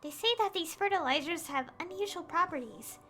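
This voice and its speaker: A woman reading aloud a puzzled, earnest villager man in a video game, a little haltingly. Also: very high voice, expressive, pushed and bright, faint music behind, heavily acted. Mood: earnest explaining. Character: puzzled, earnest farmer villager man tinkering with homemade fertilizer.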